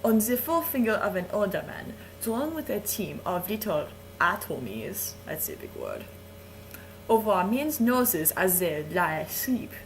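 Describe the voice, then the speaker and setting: A woman voicing a vain, flirtatious adult Frenchman who reads verse aloud in a slow, suggestive way. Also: medium pitch, big pitch swings, a little hiss or hum, low-quality recording. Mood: sultry. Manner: slow, lingering, suggestive delivery with chuckles. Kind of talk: playful dramatic reading of verse.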